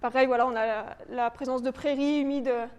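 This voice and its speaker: A woman's voice: high-pitched, steady tone, a little fast, a little mellow, clean tone, long reverb tail. A woman giving an informal, slide-based presentation as a project presenter, explaining in a hesitant, loosely improvised way. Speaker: presenter for a local authority biodiversity project. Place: reverberant indoor meeting room, live audience presentation.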